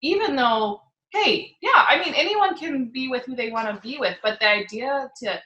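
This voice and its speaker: Interview guest: American woman, bright forward voice, roomy echo, reflective and informal, halting as she looks for the right words. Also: high-pitched, expressive, lo-fi sound. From Utah, United States. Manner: halting, searching for words, frequent "like". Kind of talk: casual, reflective personal conversation. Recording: echoey, roomy sound.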